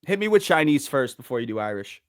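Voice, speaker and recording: Animated young man with a lively voice. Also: medium pitch, fast. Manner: animated, laid-back, swears casually. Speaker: podcast guest. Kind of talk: casual chat.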